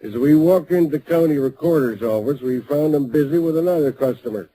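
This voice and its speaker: Guy doing an old ranger spinning yarns, mellow husky voice, folksy drawl, old radio show. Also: on the high side, fairly quick, lightly acted, poor audio. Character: folksy old male desert storyteller, the Old Ranger. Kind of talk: 1940s radio acting. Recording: old radio broadcast recording.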